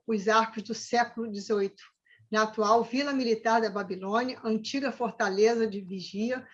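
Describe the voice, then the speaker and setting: A woman architect reading prepared remarks from home over a video call, steady and formal with small slips. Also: on the high side. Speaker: architect, club cultural director. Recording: dull lo-fi video call audio.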